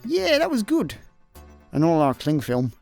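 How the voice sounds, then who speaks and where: A man's voice: on the high side, unhurried, vocal fry, exaggerated voice. Man playing a resigned slacker over music. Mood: idle, flat. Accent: Scottish. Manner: deadpan. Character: dry, resigned male space layabout. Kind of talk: comic radio play acting. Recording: music behind the voice.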